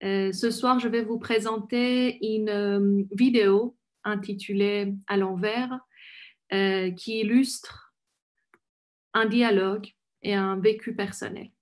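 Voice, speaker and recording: Slow hesitant woman from iran introducing herself on a laptop call, lots of pauses. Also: medium pitch, steady tone, darkish tone. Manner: hesitant, many pauses and fillers. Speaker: poet and visual artist. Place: home room with shelves. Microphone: laptop webcam, video call.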